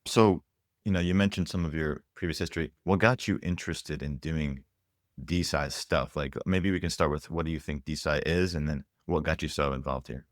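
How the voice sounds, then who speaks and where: A man's voice: extremely low pitch, fairly even intonation, normal speed, no echo, clean studio sound. A man hosting a podcast, reading an upbeat intro and asking friendly interview questions. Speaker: podcast host.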